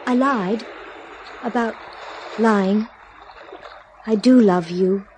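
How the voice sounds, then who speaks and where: A woman's voice: mid-range voice, extremely slow, a little mellow, a little hiss or hum. A woman acting in a radio drama as an astronaut's troubled, emotional lover, with background ambience. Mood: distressed. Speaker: radio actor.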